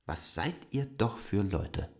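Sceptical outsider guy in a radio drama, slow probing questions, lowish voice. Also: expressive, a little mellow. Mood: puzzled, reproachful. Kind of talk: radio play dialogue.

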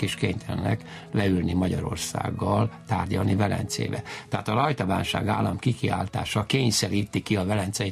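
A man explaining history informally in an interview, emphatic and digressive, often repeating his key points, with a gravelly, husky voice. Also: deep voice.

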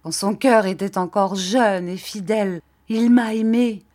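A female narrator plays a scarred, embittered woman consumed by vengeful passion in a classic novel. She accuses and commands imperiously, hammering out her reproaches at a slow, deliberate pace. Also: fairly low pitch, darkish tone. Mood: proud, wounded passion. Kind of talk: dramatic audiobook acting of a classic novel.

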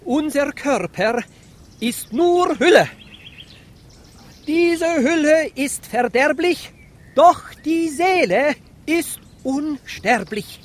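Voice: high-pitched, lively intonation, very slow, exaggerated voice. An actor playing a cranky, tipsy priest who preaches pompously in rhymed verse with a squawking voice. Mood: solemn, slurred preaching. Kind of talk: rhymed comic radio play.

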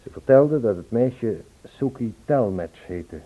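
A man's voice: mid-range voice, animated, a little slow. An actor playing an anxious, insistent man searching for a missing woman in a Dutch radio play. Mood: troubled recounting. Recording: husky, phone-like old radio play recording.

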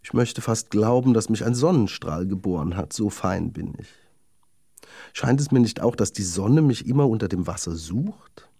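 A man's voice: fairly low pitch, lively intonation, moderate pace, vocal fry, dark tone, slightly rough, no echo, clean studio sound, exaggerated voice. Man voicing a vain, haughty little lady needle. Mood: self-admiring. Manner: proud, self-satisfied, genteel. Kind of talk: children's fairy-tale storytelling.

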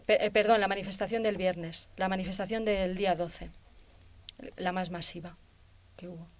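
A woman runs a radio discussion, briskly inviting each guest to speak and steering to the next question. Her voice sits at a middling pitch, with a faint hiss under it. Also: fairly even intonation. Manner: brisk, moderating, prompting guests. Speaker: radio host. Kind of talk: hosting a radio round table. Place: radio studio.

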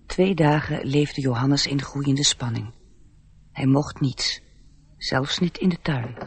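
A man's voice: medium pitch, slow. Male radio-play narrator with background noise. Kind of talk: scripted storybook narration in radio drama.

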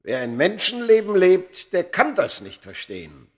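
An actor playing a tormented, immortal Egyptian under a curse in a German radio play, solemn and theatrical, moving from hushed words to fierce outbursts. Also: male voice, sits high, wildly swooping pitch, normal speed. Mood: fervent, solemn. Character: tormented, cursed ancient Egyptian man, four thousand years old.

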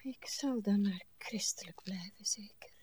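An actress playing a prim, pious, put-upon spinster in a radio play, fussy and martyred, often hushed. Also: female voice, mid-range voice, measured pace, a little hiss or hum, natural voice. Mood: resigned.

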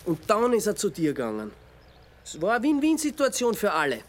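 A man's voice: sits high, huge pitch swings, brisk. An actor playing a man in a radio drama who steadily reasons with and pleads against a vengeful killer. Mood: reasoning. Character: calm man confronting an avenger, pleading.

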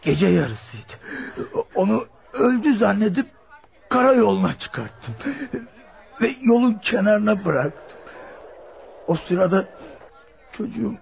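An actor playing a hard-drinking, poor father who confesses his crimes bluntly and haltingly in a radio drama. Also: high-pitched, lively intonation, vocal fry, a little mellow, exaggerated voice. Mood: grim, confessing.

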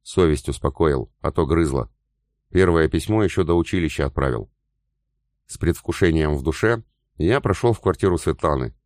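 A man reading a novel aloud in the first person, with even, unhurried storytelling. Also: rumbling low, dry recording, clean studio sound. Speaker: audiobook narrator. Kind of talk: first-person scripted narration.

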